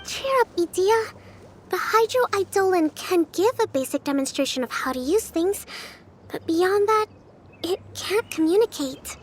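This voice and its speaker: A young female game strategist, very high and lively in pitch. She is composed, gentle and persuasive, with an encouraging manner. Faint noise sits behind the voice. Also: a little slow. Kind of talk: video game character acting.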